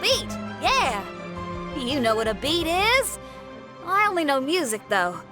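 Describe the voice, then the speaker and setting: A spirited young female rock musician from a video game. Her voice is high and swings widely, with a folksy, casual, friendly delivery. Music is clearly heard behind her. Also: sing-song, measured pace. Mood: easygoing, modest. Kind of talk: animated video game character acting.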